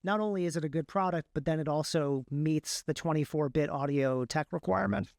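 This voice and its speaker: A man's voice: a little high, brisk, gravelly, a little mellow, no room sound, studio quality. A man who works as an audiobook narrator, chatting casually and technically as a podcast guest in a rambling, enthusiastic way.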